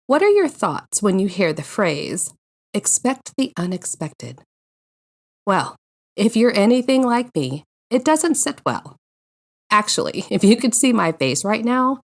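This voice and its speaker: Woman podcast host with animated, swooping intonation. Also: mid-range voice. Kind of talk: scripted personal storytelling podcast narration.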